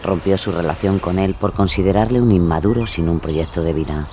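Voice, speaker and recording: A male narrator delivers scripted true-crime storytelling. Clear ambient sound effects run behind the words. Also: sits low, brisk, a little hiss or hum.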